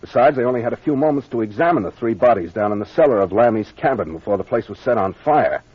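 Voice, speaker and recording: An actor playing a confident, authoritative captain investigator explaining a mystery in an old-time radio serial. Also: male voice, mid-range voice, expressive, quick pace, breathy edge, no echo. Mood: explaining, assured. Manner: authoritative, expository. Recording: muffled old radio recording.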